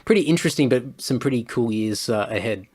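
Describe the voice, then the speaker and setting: A man in his thirties, a podcast guest, talking in a thoughtful, measured way. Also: medium pitch, animated, crowd noise. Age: 30s. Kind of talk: casual conversation.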